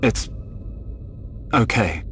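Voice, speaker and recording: A man's voice: very slow, pushed and bright, slight background noise. A man playing an earnest, determined and respectful young hero in a video game, speaking sincerely. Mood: subdued, respectful. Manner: sincere, polite. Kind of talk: dramatic video game cutscene acting.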